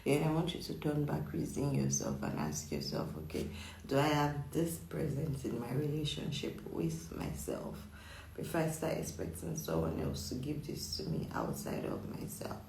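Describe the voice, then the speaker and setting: A hoarse, croaky psychic chats through a weekly horoscope, repeating words and checking in with "okay?". The voice has a gravelly fry. It sits in a roomy, echoing space. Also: medium pitch, very animated, normal speed, darkish tone, a little hiss or hum. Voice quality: husky, croaky. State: hoarse from just waking up. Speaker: psychic astrologer. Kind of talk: casual weekly horoscope reading. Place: indoor room with echo. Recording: echoey room.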